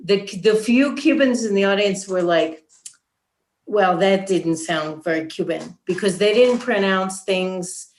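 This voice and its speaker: A middle-aged or older woman telling anecdotes casually and with amusement as a panelist on a video call. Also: medium pitch. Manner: amused, conversational. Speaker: panelist, academic. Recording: roomy echo on video call.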